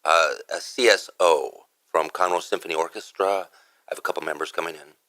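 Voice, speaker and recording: A man's voice: low-pitched, steady tone, brisk, sharp tone, dry recording, studio quality. A man speaking casually as a radio DJ and interview guest, emphatic and repeating himself for stress. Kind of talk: casual chat.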